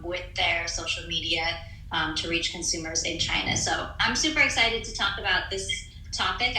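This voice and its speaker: Enthusiastic woman with a bright voice. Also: fairly low pitch, echoey room, rough recording. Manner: enthusiastic and lively, self-mocking. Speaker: social media agency owner, panel guest. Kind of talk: casual panel chat.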